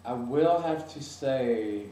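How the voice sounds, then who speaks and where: A man's voice: mid-range voice, takes it slowly, warm tone, a little hiss or hum. A young man from France whose first language is French, answering interview questions in English with lots of fillers and restarts. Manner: hesitant, many fillers and restarts. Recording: echoey room from a distance.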